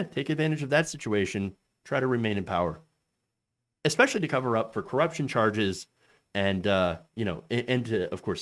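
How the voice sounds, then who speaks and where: A man hosting a casual political commentary, reading quotes and giving his opinion with many fillers and restarts. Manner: lively pitch with frequent fillers and restarts; reads quotes aloud with raised pitch.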